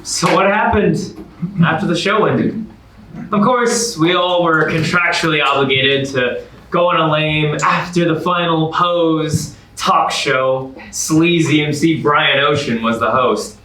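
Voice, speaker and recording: A man's voice: on the high side, measured pace, pushed and bright, a little hiss or hum. A man playing an upbeat, sassy narrator in a staged musical comedy reading, talking and singing. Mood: teasing, brisk. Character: Oliver, upbeat sassy man, contest survivor turned narrator. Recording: distant echoey stage recording with music.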